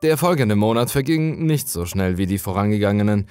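A man's voice: on the low side, expressive, a little fast, darkish tone, smooth, faint music behind. A man reading a scripted first-person horror story as a dramatic narrator.

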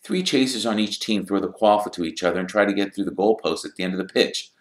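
A man voicing a tired, reluctant teenage boy who answers flatly and explains things with resigned patience. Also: on the low side, quick pace, voice pushed up, lightly acted. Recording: roomy echo.

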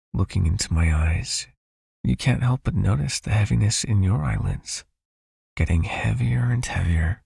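A man playing a gravelly, seductive vampire master. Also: fairly low pitch, no room sound, studio quality, full character voice. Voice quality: breathy, gravelly, murmuring. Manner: slow, coaxing, intimate, softly commanding. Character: seductive, possessive male vampire master, hypnotic and condescendingly tender. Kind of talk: ASMR hypnosis roleplay.

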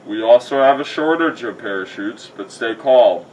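A man voicing an airline pilot making calm, deliberate emergency announcements in a story. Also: medium pitch, far from the mic, a little hiss or hum, lightly acted, poor audio. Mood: matter-of-fact announcing.

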